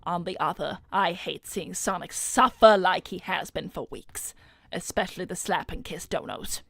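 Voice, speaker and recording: A woman voicing a smug, seductive hedgehog celebrity businesswoman in an animated roleplay stream, suave, flirtatious and coolly condescending. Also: lowish voice, normal speed, creaky. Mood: smug, pleased. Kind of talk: improvised in-character livestream roleplay.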